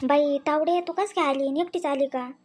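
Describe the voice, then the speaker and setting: A woman's voice: sits high, steady tone, normal speed, darkish tone, close to an everyday voice. A woman with a rural Maharashtrian accent playing a worried, scolding village mother in a family story cartoon. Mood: scolding concern. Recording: faint background noise.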